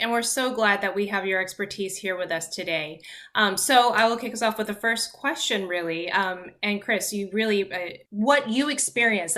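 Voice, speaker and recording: A woman's voice: mid-range voice, pushed and bright. A woman hosting a podcast, polite, reading partly scripted introductions and questions. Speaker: podcast host.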